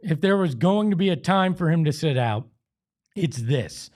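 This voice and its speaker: American man, fairly high voice, sports show host, emphatic, conversational delivery. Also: expressive, moderate pace, a little mellow. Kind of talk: opinionated sports talk and host-read ads.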